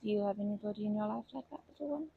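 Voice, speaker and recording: A woman playing a kindly, protective grandma in an ASMR comfort roleplay, speaking gently and hesitantly with fond pet names. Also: medium pitch, very fast, narrow, tinny audio, a little hiss or hum, close to an everyday voice. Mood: soothing reassurance. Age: older. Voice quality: creaky, husky.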